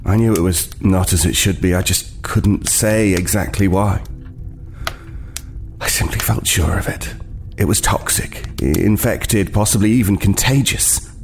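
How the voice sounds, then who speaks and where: An actor playing an uneasy homeless man who narrates his horror tale in a halting, brooding way. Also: sits low, a little mellow. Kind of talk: dramatic first-person horror narration. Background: music.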